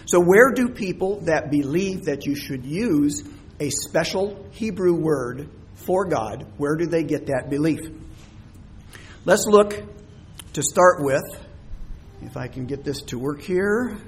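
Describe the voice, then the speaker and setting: A man preaching a sermon in a conversational teaching style. He pauses often and repeats words, and says his voice is already tiring. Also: fairly high pitch, lively intonation. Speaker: pastor.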